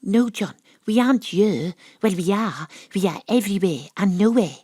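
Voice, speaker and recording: A woman's voice: mid-range voice, animated, normal speed, mellow, slightly rough, no echo, studio quality. A woman playing a brash, cocksure amateur detective in an audio drama, narrating theatrically with a knowing, conspiratorial manner. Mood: confident explaining.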